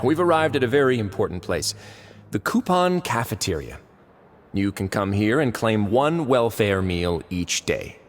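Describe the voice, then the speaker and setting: A man playing a composed, courteous and shrewd prison warden duke in a video game, speaking in a measured, wry way. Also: lowish voice, lightly acted. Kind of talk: English video game voice acting.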